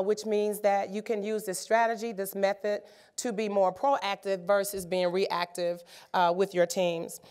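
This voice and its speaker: Slightly raspy woman presenting a workshop. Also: medium pitch. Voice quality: many filler ums and uhs. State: slightly raspy from a sinus cold. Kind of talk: conference workshop talk. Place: conference room.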